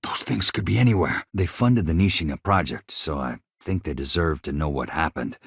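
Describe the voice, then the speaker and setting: A man's voice: rumbling low, moderate pace, vocal fry, husky, no echo, studio quality, heavily acted. A man voicing an earnest, weary chief engineer in a video game, speaking in a reflective, conversational way. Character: earnest, weary male chief engineer, haunted survivor of a disaster. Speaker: starship crew engineer. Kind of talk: video game character dialogue.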